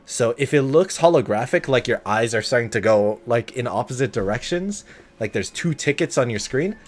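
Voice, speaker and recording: A man's voice: medium pitch, lively intonation, normal speed. A man giving casual, joking, sweary live commentary on a game. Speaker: game streamer. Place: live stream with faint game music behind.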